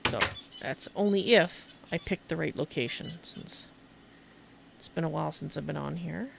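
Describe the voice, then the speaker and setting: A woman's voice: sits low, moderate pace, creaky, background noise. A woman streamer giving casual video game commentary, rambling and thinking aloud as she goes.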